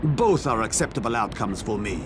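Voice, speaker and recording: An actor playing a shady, glib bookie of deadly races in a video game, salesmanlike, wordy and blithely callous. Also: male voice, lowish voice, lively intonation, brisk, background noise, lightly acted. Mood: slick, callous. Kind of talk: video game cutscene acting.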